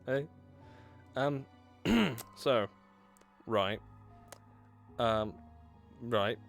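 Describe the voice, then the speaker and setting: A young man plays a horror game live, reading the story aloud, then chatting with viewers in a loose, swear-heavy way. His pitch jumps around a lot, with soft music under him. He talks into a headset in a small room. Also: animated, very slow, vocal fry. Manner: swears often, laughs, banters with chat. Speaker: streamer.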